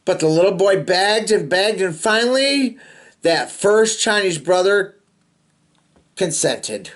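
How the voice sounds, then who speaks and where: Male storybook reader, highly animated pitch, playful and expressive, drops into casual asides for kids. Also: slow pace. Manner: playful, expressive, chatty asides to young listeners. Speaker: storybook reader, host of a read-aloud show. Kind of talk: animated read-aloud of a children's picture book with casual asides.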